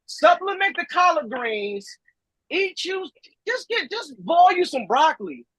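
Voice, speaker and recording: A man in his thirties on a video call, talking in a teasing, animated and emphatic way. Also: very high-pitched, a little slow, dry recording, rough recording. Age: 30s. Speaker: meeting participant. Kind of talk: casual chat.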